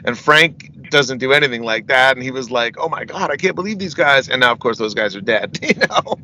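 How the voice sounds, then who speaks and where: A man's voice: mid-range voice, expressive, fairly quick, sharp tone, a little hiss or hum, rough recording. A middle-aged man, a metal singer, telling rambling stories as an interview guest, often asking "you know what I mean" and laughing between anecdotes. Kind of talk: casual storytelling interview.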